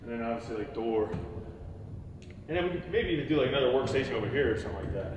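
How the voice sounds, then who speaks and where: A man's voice: mid-range voice, racing, muffled recording. Gym-owner man, 30s, casual profane vlog chat, recorded from a far camera with a noisy background. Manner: laid-back, profane, filler-heavy. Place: large empty warehouse gym space. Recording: echoey warehouse with long reverb, noisy.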